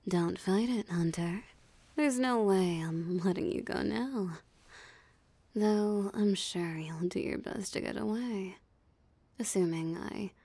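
A woman voicing a possessive, teasing female vampire in an ASMR roleplay, with a breathy, half-whispered, sultry and taunting delivery. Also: mid-range voice, warm tone, dry recording, heavily acted. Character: possessive, teasing female vampire savoring her captured prey. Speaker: voice actor.

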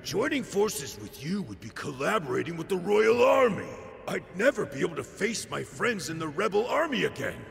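A man voicing a brawny, boastful bandit leader in a video game, gravelly and theatrical. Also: sits high, very animated, normal speed, exaggerated voice. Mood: blustering, defensive. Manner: theatrical, blustering. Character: brawny boastful male bandit leader in swim gear, blustering. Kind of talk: animated video game cutscene acting. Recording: echoey hall reverb over music.

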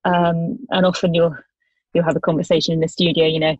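A woman singer-songwriter in her thirties, answering interview questions thoughtfully with many pauses and restarts. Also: sits low, flat delivery, a little mellow, breathy edge, dry recording, muffled recording.

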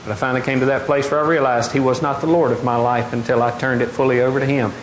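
Middle-aged preacher in an echoey hall. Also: male voice, medium pitch, fast. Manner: animated, gestures widely, asks the congregation questions. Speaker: pastor. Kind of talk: sermon. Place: large wooden open-air church hall. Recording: echoey hall, faint noise.

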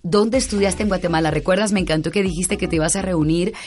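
A woman's voice: fairly low pitch, quick pace, a little mellow. A woman hosting an interview podcast, greeting listeners and asking her guest effusive questions. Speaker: podcast host.

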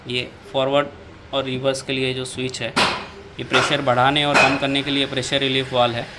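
An Indian-accented man walks through the controls of a machine as he operates it, speaking in a level, unvaried tone. Loud handling and machine noise sits under his voice from a phone mic in a workshop. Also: medium pitch, level tone, fairly quick. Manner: explains step by step while operating the machine. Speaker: machine seller demonstrating equipment. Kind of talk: hands-on product demonstration. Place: indoor workshop floor.

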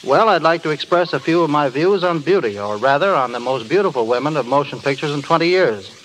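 A man's voice: fairly high pitch, lively intonation, brisk, a bit bright. A man speaking formally as an editor guest in a scripted 1930s radio interview. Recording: noisy old 1930s radio broadcast.

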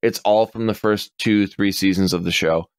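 A man in his forties talks casually on a podcast, his voice sitting on the low side with a dry, close sound. He gets animated, curses freely and slips into quick impressions of customers' lines. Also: no room sound. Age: 40s. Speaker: podcast co-host.

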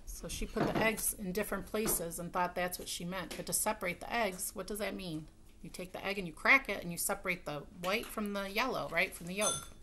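A woman's voice: medium pitch, brisk. A middle-aged woman reading a children's storybook aloud at home, with casual asides to her listeners. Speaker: story time reader. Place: room at home by a window. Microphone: webcam at desk distance. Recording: webcam sound with faint noise, clicks.

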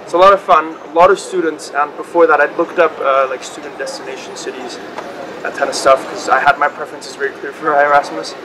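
A man's voice: on the high side, steady tone, a little fast. Chatty Spanish man in his twenties. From Spain. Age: 20s. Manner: enthusiastic, chatty. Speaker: exchange student. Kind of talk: casual interview answers. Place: outdoors by a river. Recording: noisy outdoor recording.

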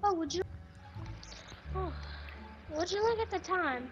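A performer voicing a creepy, doting and bossy old grandmother in a video game roleplay, cooing with drawn-out endearments. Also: very high voice, animated, a bit bright, dull audio, faint music behind, full character voice. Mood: cloying, bossy.

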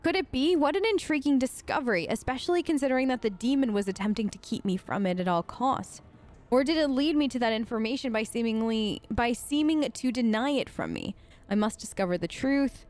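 A woman's voice: a little high, a little mellow, lightly acted. A woman voicing a scholarly male enchanter's research journal from a fantasy game, reading in a musing, formal way.

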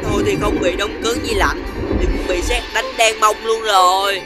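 A woman's voice: medium pitch, fairly even intonation, moderate pace, lightly acted. A woman voicing a cheeky, chubby young cartoon piglet, childlike and exclamatory, with a southern Vietnamese accent. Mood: scared. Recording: loud music behind the voice.

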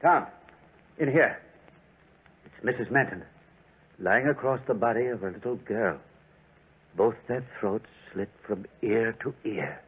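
An actor playing a country doctor with expressive, muttering delivery in 1940s radio drama style. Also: male voice, lowish voice, unhurried, long pauses, natural voice. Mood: grim, shocked. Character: country doctor, gruff yet chatty, grimly observant. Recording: noisy, tinny old 1940s radio recording.

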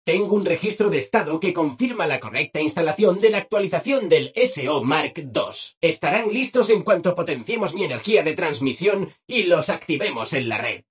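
A man's voice: fairly high pitch, animated, moderate pace, hardly any pauses, slightly rough. A man with a Spain Spanish accent voicing a chirpy, eager, obliging robot assistant in a video game. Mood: upbeat, informative. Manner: exaggeratedly chirpy, eager, polite. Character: relentlessly cheerful, obliging male robot assistant. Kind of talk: video game character dialogue.

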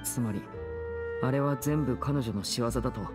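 A man's voice: mid-range voice, close to an everyday voice. Composed, probing young man, acted by a man. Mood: stern. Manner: measured, serious, questioning. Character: composed, probing teenage older brother, a young magician. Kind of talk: Japanese anime-style game voice acting. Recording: studio voice over game music. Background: background music.

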